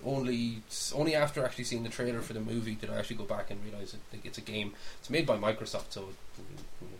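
A man's voice: lowish voice, fairly even intonation, fast, creaky, rough recording. A man co-hosting a podcast, chatting casually and wryly about films and games in rambling explanations. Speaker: podcast co-host. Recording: faint background hiss.

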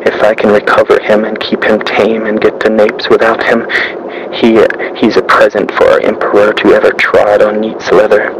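A man reads as a swaggering, drunken sailor spouting archaic verse, low and gravelly. The delivery stays fairly flat, and a steady hiss of noise sits under the voice. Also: moderate pace, close to an everyday voice. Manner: archaic theatrical recital. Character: boastful drunken male sailor reciting old verse. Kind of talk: reading a classic play aloud.

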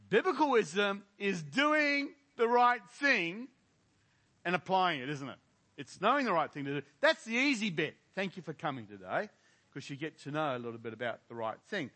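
A man's voice: high voice, sharp tone. An older man, a pastor, leading a prayer and preaching a sermon, talking straight to his congregation and putting rhetorical questions to them. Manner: frequent fillers and restarts.

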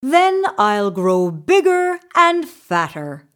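A woman's voice: medium pitch, very animated, slow, fairly bright tone. A woman voicing an earnest, middle-sized male billy goat in a children's story.

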